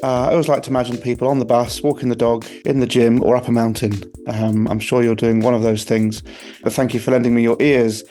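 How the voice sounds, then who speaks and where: A man's voice: mid-range voice, little pitch movement, fairly quick, mellow, soft background music. A man hosting a podcast who introduces his guest and asks long, enthusiastic questions that wander a bit. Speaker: podcast host. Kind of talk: podcast interview.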